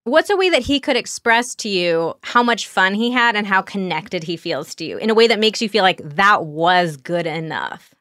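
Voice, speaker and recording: A woman with a mid-pitched voice, recorded dry and close. She speaks as a therapist in a conversational session, asking probing, affirming questions and putting feelings into words. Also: animated, moderate pace, fairly bright tone, dry recording. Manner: probing, affirming, paraphrases the other person's feelings. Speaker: sex therapist.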